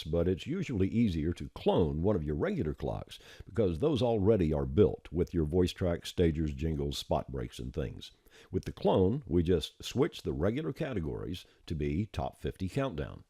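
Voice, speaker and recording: A man presenting a software tutorial as a step-by-step screencast voice-over, plain-spoken and informal, on a close mic. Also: a bass voice, husky, no echo.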